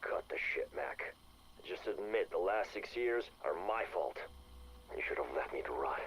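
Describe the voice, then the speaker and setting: An actor playing a weary, guilt-ridden male soldier who speaks low and resigned. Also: lively intonation, moderate pace, a little hiss or hum, poor audio. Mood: bitter.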